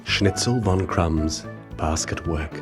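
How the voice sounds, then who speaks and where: A man reading children's rhyming stories as an audiobook narrator, with measured, rhythmic, theatrical delivery. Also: deep voice, over loud music. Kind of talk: scripted children's storybook narration in rhyming verse.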